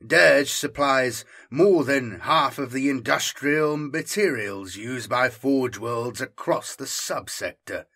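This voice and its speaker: A man's voice: medium pitch, slow pace, hardly any pauses, pushed and bright, slightly rough, heavily acted. A man voicing a brusque, matter-of-fact male military colonel in an audiobook. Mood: indignant.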